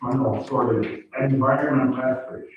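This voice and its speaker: Male councilor, native French speaker, halting delivery, far from the mic in an echoey room. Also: mid-range voice, steady tone, a little hiss or hum. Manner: halting, searches for words, repeats himself. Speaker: town councilor. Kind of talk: council meeting report. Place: council chamber. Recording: echoey chamber, distant phone-like mic.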